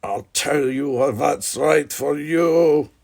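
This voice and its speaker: A man's voice: fairly high pitch, very slow, gravelly, a little mellow, voice pushed up, heavily acted. A man voicing a stern, proud father in an audiobook, speaking in an authoritative, cold way. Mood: furious. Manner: authoritative, cold, bellowing when angered. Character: stern proud older father, commanding vintner. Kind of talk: audiobook character voice.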